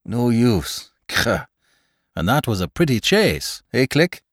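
A man's voice: medium pitch, sing-song, slow pace, dry recording, studio quality, heavily acted. A man voicing a big, burly, joking adventurer with a boisterous, bantering manner in a dramatised story. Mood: jaunty joking. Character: big burly Irishman with a gun, joking, blustering in danger. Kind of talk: dramatised audiobook character voice.

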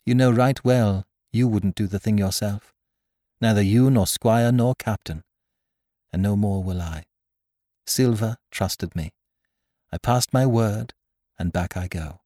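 A man's voice: on the low side, measured pace, pauses often, warm tone, no room sound, clean studio sound, lightly acted. A man reading aloud and voicing a frightened but honest young boy, tearful yet steadfast.

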